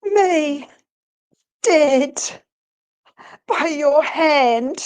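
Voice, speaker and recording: A woman's voice: high-pitched, expressive, very slow, a little mellow, voice pushed up, heavily acted. A woman playing a sassy, bossy psychic ghost investigator in a live dramatic reading of a paranormal romance, with sardonic delivery. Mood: shaken, accusing.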